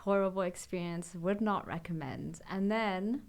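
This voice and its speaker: A woman's voice: lowish voice, moderate pace. A young woman with an American accent chatting casually as a podcast crew member, giggly and self-deprecating. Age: young adult. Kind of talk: casual group chat.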